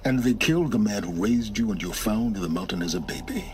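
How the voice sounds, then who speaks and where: An actor playing an arrogant, secretive middle-aged scholar of ruins in a video game, speaking with stern, deliberate condescension. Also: male voice, fairly low pitch, expressive, a little hiss or hum, close to an everyday voice. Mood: imperious. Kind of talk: dramatic video game acting. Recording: music behind the voice.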